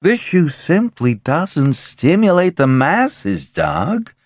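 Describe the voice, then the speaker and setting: A man voicing a blunt businessman who draws out his words slowly and deliberately. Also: a little high, very animated, no echo, clean studio sound, pitched above the performer's own voice, exaggerated voice. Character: slow, blunt businessman, fashion coordinator.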